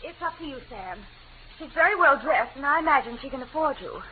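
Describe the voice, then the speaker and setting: Wary young secretary in a 40s radio drama, really animated high voice, earnest, tinny old recording. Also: female voice, quick pace. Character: loyal, wary young female private detective's secretary. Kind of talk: 1940s radio acting. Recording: narrow-band, noisy 1940s radio recording.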